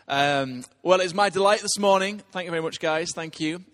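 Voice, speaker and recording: A man's voice: sits high, a bit bright, dry recording. Upbeat man with a dry, close sound. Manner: upbeat, quick to hand over. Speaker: church service leader. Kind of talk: introducing guest speakers.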